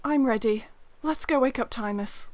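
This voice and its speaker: A woman's voice: on the high side, normal speed, no echo, natural voice. A woman voicing a timid, nervous young female monk in a fantasy audio drama, speaking hesitantly and with stammers. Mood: resolved.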